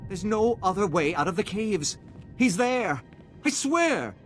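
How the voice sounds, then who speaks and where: An actor playing an anxious, frightened official in a video game, pleading in a nervous manner. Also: male voice, high voice, big pitch swings, measured pace, faint music behind. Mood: fearful. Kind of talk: video game cutscene acting.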